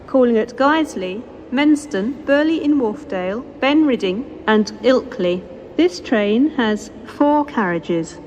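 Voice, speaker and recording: A British woman reading scripted railway announcements over a station loudspeaker, formal and measured, in segmented phrases. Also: a little high, expressive, dull audio. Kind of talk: scripted public-address train announcements. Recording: noisy station PA recorded on location.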